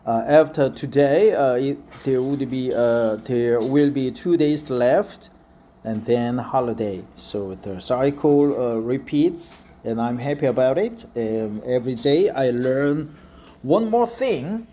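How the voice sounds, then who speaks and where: Korean accented guy in his 50s practicing english out loud, slow, keeps repeating lines. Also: medium pitch, expressive. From South Korea. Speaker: office worker practicing English. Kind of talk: reading an article aloud for practice.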